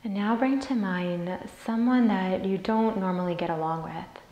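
A woman leading a guided meditation as a teacher, soothing and deliberate as she repeats phrases. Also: medium pitch, dull audio. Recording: roomy, echoey sound.

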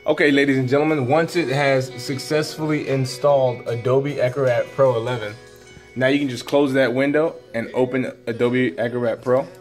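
Upbeat man giving a classroom software demo. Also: mid-range voice, steady tone, normal speed, soft background music. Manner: upbeat, folksy, steps through clicks aloud. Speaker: teacher leading a staff tech demo. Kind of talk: casual classroom screen demo. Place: school classroom with computers.

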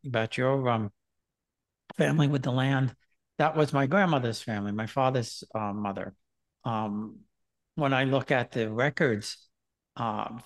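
Older man, hesitant conversational host. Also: medium pitch. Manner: rambling, hesitant with many "um" and "uh". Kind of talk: casual conversation. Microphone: headset on video call.

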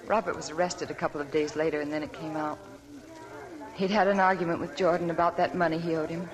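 An actress playing a weary, heartsick young wife in a 1940s radio drama. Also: female voice, low voice, little pitch movement, quick pace, crowd noise, close to an everyday voice, poor audio. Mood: subdued. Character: weary, heartsick young wife telling her sad past. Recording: old 1940s radio broadcast, audience murmur.

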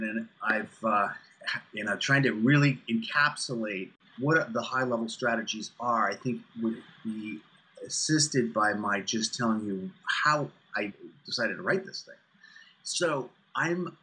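A man in his fifties explaining things emphatically and deliberately as an interview guest on a video call. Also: on the low side, pushed and bright, slightly rough, rough recording. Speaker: guest, author and executive coach. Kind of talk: interview answers on business networking. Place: small furnished room indoors, on a video call. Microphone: video call, computer at desk distance.

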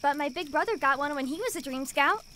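A woman's voice: high voice, expressive, fast, sharp tone, slight background noise. A woman playing a vague, forgetful young scout child in an audio drama. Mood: earnest.

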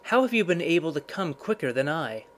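A man's voice: fairly high pitch, sing-song, brisk. A grave storybook reaper, played by a male narrator, stern and formal. He makes firm declarations in a slightly raised male voice, as the gardener of human lives. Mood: startled, demanding.

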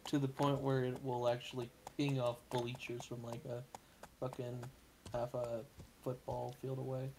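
Booming-voiced man streaming a game. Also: completely flat delivery, measured pace, noisy background. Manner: rambling, swears casually. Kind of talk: casual gaming stream chat.

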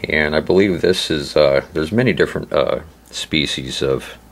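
A man with a hoarse, laryngitis-affected voice giving an informal instructional narration, halting and pausing often as he explains. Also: a bass voice. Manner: halting, hesitant delivery with frequent pauses. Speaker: host of a survival channel.

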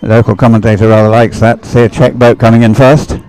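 A man's voice: fairly low pitch, level tone, fairly quick, dark tone. A man giving live sports race commentary in a conversational, hesitant way, often musing aloud.

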